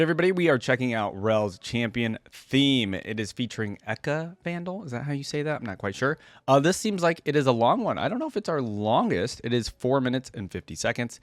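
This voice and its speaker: A man's voice: medium pitch, very animated, fairly quick, hardly any pauses, dry recording. A man giving casual reaction commentary as a channel host, informal and thinking aloud. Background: music from the video being watched.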